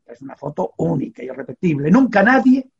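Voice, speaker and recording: An older man from Extremadura, a veteran sports reporter, telling an animated story in a studio chat with many fillers and restarts. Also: high-pitched, a little fast, vocal fry, slightly rough, highs cut off. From Extremadura, Spain.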